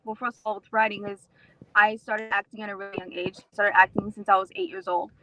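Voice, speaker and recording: A woman's voice: medium pitch, fairly even intonation, quick pace, crowd noise, rough recording. A woman from southern Texas, an interview guest explaining her background in a casual, storytelling way. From Rio Grande Valley, Texas, USA. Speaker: interview guest, author and actor.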